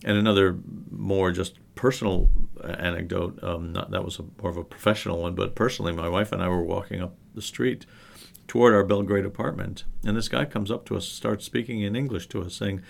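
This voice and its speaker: Middle-aged man, low pitch, gravelly, anecdotal. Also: steady tone, fairly quick, hardly any pauses. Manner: anecdotal, thoughtful. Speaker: researcher. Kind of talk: panel discussion.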